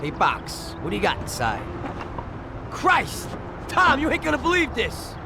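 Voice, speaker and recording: A restless young mobster in a game cutscene; swinging, animated delivery, street noise behind. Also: male voice, extremely high pitch, measured pace, gravelly, heavily acted. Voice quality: streetwise slang, jumpy outbursts. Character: excitable young male gangster, tempted by loot.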